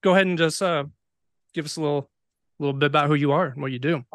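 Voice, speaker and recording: A man in his forties hosts a casual podcast chat. His voice sits a little high for a man and is recorded dry and close, with an informal, joking delivery. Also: fast talker, fairly bright tone, no echo. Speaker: podcast host.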